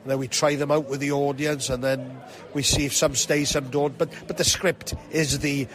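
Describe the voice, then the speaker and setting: A man's voice: medium pitch, level tone, moderate pace. A man, a pantomime actor, chatting casually in an interview in a jovial, self-deprecating way. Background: music.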